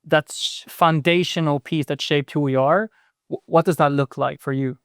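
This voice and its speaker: A man's voice: fairly high pitch, fairly even intonation, moderate pace, a bit bright, no room sound, studio quality. A man hosting a podcast interview, talking casually and hesitantly with lots of fillers and tag questions. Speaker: podcast host.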